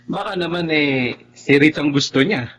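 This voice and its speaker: Lively, high-voiced cheeky Filipino kid brother. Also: male voice, takes it slowly, husky, exaggerated voice, rough recording. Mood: eager, sly. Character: cheeky, eager young bachelor, youngest brother, twenties. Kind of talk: Filipino radio drama acting.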